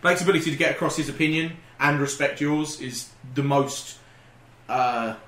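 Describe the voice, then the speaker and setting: A man in casual group banter, telling stories in an animated, rambling way and swearing casually. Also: fairly high pitch, normal speed, a loud crowd. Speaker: podcast co-host. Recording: roomy, noisy room recording.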